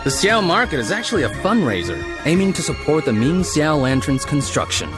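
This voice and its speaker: Patient, animated craftsman. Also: fairly high pitch, a little fast, music in the background. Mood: patient explaining. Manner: musing, hesitant hums, explanatory. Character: kindly, patient male master lantern craftsman. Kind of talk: video game character acting.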